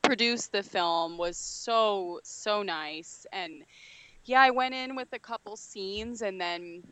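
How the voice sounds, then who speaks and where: A woman's voice: mid-range voice, slow, fairly bright tone, clear, resonant tone, no room sound, low-quality recording. A woman, an actress guest, telling in upbeat, chatty talk how she got a film role. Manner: upbeat, chatty, many fillers. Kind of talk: casual interview storytelling.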